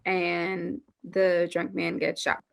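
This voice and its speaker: A woman's voice: on the low side, monotone, takes it slowly, vocal fry, smooth. A woman giving scripted narration as the stage directions reader at a table read.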